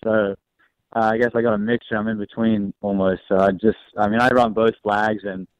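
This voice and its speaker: A man's voice: on the low side, flat delivery, brisk, clear, resonant tone, dry recording. A young man with a partly Australian, partly American accent, speaking as a racing driver on a phone-in radio interview, easygoing and laughing often. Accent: mixed Australian and American. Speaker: race car driver guest. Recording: dry, dull phone-line sound.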